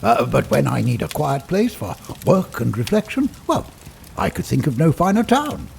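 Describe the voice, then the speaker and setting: An actor playing an eccentric, hospitable old former doctor with a creaky voice and a halting, theatrical manner in a period audio drama. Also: male voice, mid-range voice, sing-song, darkish tone, a little hiss or hum. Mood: wistful, rambling. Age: older. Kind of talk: audio drama acting in 1930s period setting.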